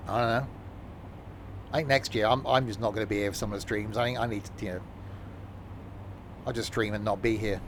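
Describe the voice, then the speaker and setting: A man's voice: lowish voice, fairly even intonation, a little fast, pauses often, background noise. A man streaming a train simulator, chatting casually in a rambling, self-mocking way. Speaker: streamer playing a train sim. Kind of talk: casual gameplay commentary.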